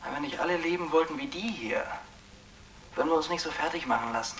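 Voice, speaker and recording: A man playing a rough, taunting young gang criminal in a thriller drama, slangy and goading, recorded at a distance with noisy ambience. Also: a little high, quick pace, fairly bright tone, lightly acted. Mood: agitated, preaching.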